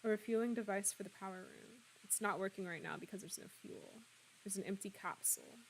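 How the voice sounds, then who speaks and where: A woman in her twenties, slightly hoarse, chatting casually and laughing often as she streams a game and answers her viewers. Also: medium pitch, a little fast, slight background noise, poor audio. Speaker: streamer playing a horror game. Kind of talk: casual live-stream chat, reading out viewer comments.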